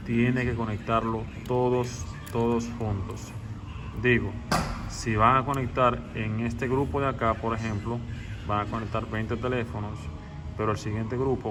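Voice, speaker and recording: A man explaining how to install an intercom step by step, walking through wiring and often repeating words for emphasis. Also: on the low side. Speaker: security technician. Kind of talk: on-site installation tutorial. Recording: clear background noise.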